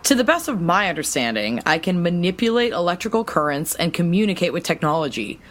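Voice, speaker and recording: A woman playing an eager, excitable female superhero hacker and scientist in an audio drama, with an expressive delivery. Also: lowish voice, fairly quick. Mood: intent, analytical.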